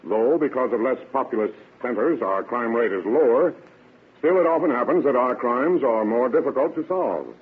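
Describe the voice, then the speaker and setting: Man, sheriff guest speaker, formal scripted address, stiff, very husky, narrow tinny old radio sound. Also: medium pitch, expressive, normal speed. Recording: muffled, phone-like vintage radio broadcast.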